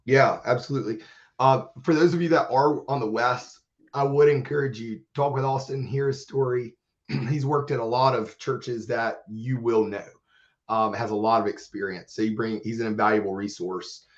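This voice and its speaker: A man with a hoarse voice, recovering from illness, earnestly presenting in a church webinar. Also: medium pitch, steady tone, normal speed. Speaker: church outreach manager. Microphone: video call. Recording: dull lo-fi webinar audio.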